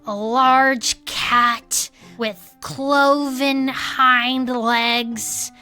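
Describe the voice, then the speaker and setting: An actress playing an earnest small-town cryptid club member in an audio drama, telling local monster lore in a folksy, suspenseful way. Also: female voice, high voice, little pitch movement, extremely slow, bright, forceful tone, clean tone, faint music behind, heavily acted. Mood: eerie, suspenseful. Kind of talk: conversational audio fiction storytelling.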